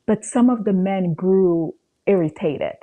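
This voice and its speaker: A woman's voice: mid-range voice, unhurried, mellow. A woman from Cameroon, a podcast guest, telling a personal story in a conversational way and acting out dialogue as she goes.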